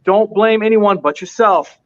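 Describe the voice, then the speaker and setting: Guest-interview read: high-pitched man, earnest and emphatic, hammering points through repetition, heard over muffled lo-fi audio. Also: unhurried, a little hiss or hum. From United States. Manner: emphatic, repeats points for stress. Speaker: restaurant owner, interview guest. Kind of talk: earnest motivational storytelling.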